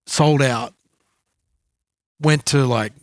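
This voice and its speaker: A man's voice: mid-range voice, fairly even intonation, extremely slow, no echo, studio quality. An Australian man talking casually as a co-host on a music podcast.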